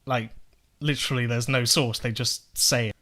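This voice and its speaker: A man's voice: mid-range voice, steady tone, normal speed, bright, forceful tone. A young man giving sarcastic, profane political commentary, firing off rhetorical questions as he rebuts clips. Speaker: political video essayist.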